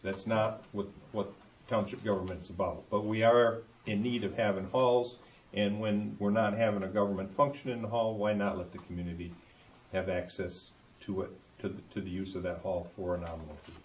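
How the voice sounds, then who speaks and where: A low-voiced man deliberates at a township meeting, choosing his words haltingly. The sound is echoey and muffled, as from a meeting hall recording. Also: fairly even intonation. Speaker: township board member at a meeting. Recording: muffled, echoey meeting room, background hiss.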